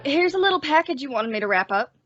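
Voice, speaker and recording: A woman's voice: high-pitched, big pitch swings, quick pace, over loud music. A woman playing an anxious, questioning young female helper in an old-time radio western. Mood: anxious, curious. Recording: dull lo-fi audio.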